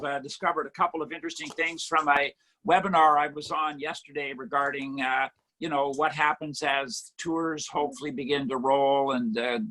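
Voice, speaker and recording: Host, man, high and bright voice, halting intro on a video call. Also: poor audio. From Canada. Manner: halting, full of uh fillers. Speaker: meeting host. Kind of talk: hosting a video meeting.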